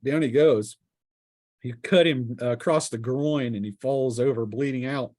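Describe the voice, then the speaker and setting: A man's voice: medium pitch, measured pace, a little mellow. A man running a tabletop role-playing game as game master, informally narrating combat and explaining rules on an online call. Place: home, online group call. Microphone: close microphone on an internet call.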